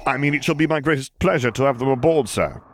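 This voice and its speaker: A man's voice: medium pitch, fast, voice pushed up, lightly acted. Man playing a flustered, dutiful naval admiral. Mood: strained politeness. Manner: formal, anxious, exasperated politeness.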